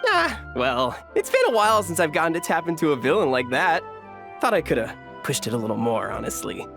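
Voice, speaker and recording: A man's voice: on the high side, sing-song, fairly quick, creaky, over music. A man playing an easygoing, eager officer in a video game, speaking casually. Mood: modest, pleased. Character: easygoing, eager male officer who enjoys undercover playacting.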